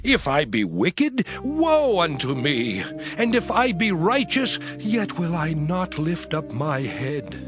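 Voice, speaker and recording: A man's voice: on the high side, sing-song, slow. A man playing Job, a suffering man pleading with God in a solemn, lamenting dramatized scripture reading. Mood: anguished. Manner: lamenting, solemn King James delivery. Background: music.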